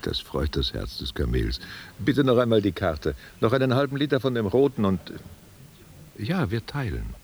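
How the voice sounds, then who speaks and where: A man's voice: on the low side, very animated, moderate pace, darkish tone. An actor playing an old man, a reminiscing stage player who talks tenderly and theatrically in a literary radio drama. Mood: musing. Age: older. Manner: musing, theatrical, answering unheard questions. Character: reminiscing old German actor, tender and musing over wine.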